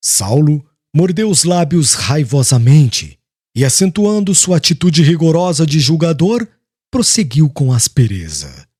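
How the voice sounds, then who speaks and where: A man's voice: fairly high pitch, big pitch swings, unhurried, dark tone, breathy edge, no room sound, studio quality. A man reading a solemn, dramatic audiobook narration.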